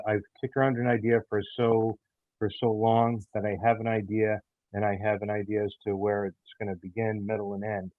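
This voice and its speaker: A man answering interview questions as a writer, explaining himself in a halting way with many pauses. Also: fairly low pitch, dry recording, narrow, tinny audio. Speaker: audio theater writer and creator.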